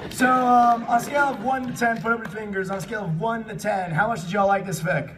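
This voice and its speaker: An excitable man hosting a live comedic fan panel, reading and chatting with the audience, often bursting into shouts and laughter. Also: high-pitched, fast. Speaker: panel host and fanfic reader. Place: convention panel room. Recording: distant mic in noisy convention room.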